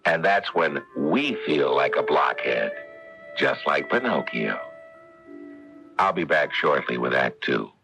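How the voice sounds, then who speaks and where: A man's voice: very deep voice, animated, slow pace, gravelly, heavily acted, rough recording. A man hosting a radio drama as storyteller, with scripted, moralising narration. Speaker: storyteller host. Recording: 1970s radio broadcast over music.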